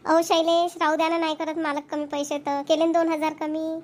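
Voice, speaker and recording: A woman's voice: sits high, level tone, a little fast, lightly acted. A woman voicing an eager young wife in a cartoon, coaxing and pleading with her husband. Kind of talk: cartoon voice acting.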